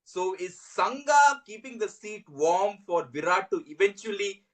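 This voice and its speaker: A man co-hosting a podcast in casual chat, setting up his points in a measured, wry way. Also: high-pitched, expressive, fairly bright tone, poor audio. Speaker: podcast co-host.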